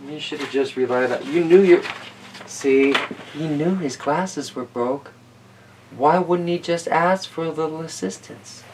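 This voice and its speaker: A man's voice: a little high, a little slow, darkish tone, recorded from a distance, slight background noise, low-quality recording. A man reading a picture book aloud for children, dropping in playful, wry asides as he goes. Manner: playful, wry commentary on the story. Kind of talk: animated children's story reading with casual asides.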